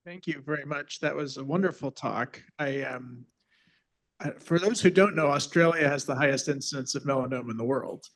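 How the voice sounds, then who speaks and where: A man's voice: medium pitch, a little fast, fairly bright tone. A man moderating a medical conference session, thanking the speaker and taking questions, earnest in tone.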